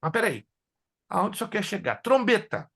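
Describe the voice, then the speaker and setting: Male pastor-teacher, gravelly slightly high voice, lively classroom lecture, native Hebrew and Spanish speaker. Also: normal speed. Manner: animated, jokes with students, asks if they follow.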